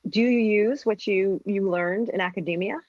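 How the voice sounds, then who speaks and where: A woman's voice: medium pitch, moderate pace, dry recording. Female interviewer on dull lo-fi audio. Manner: warm back-channel "mm-hmm", rambling questions. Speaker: radio host. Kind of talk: interview questions.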